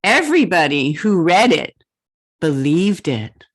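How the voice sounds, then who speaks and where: A woman hosting a children's storytime, reading a picture book aloud expressively and pausing to put questions to young listeners. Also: on the low side. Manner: expressive, deliberate, simple phrasing with questions to children.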